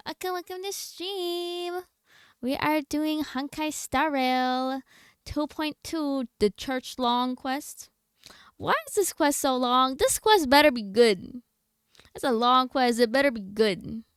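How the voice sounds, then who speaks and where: A woman's voice: a little high, gravelly, clean tone, no room sound, clean studio sound. A woman livestreamer chatting casually with her viewers in a playful, slangy, bantering manner. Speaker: video game livestreamer.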